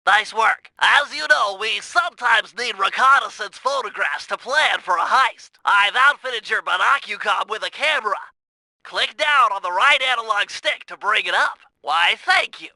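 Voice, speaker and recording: A man's voice: high-pitched, animated, normal speed, bright, forceful tone, no echo, full character voice, poor audio. A man voicing a brainy, fussy turtle mission planner in a video game, nasal and precise, explaining things in wordy technical terms. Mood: businesslike, focused. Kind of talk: video game tutorial voice acting.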